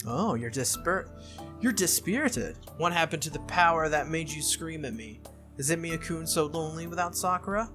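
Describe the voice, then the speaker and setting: A game reader voicing a brisk, teasing, confident teenage anime schoolgirl with a breezy, needling manner. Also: medium pitch, expressive, over music, pitched above the performer's own voice, natural voice.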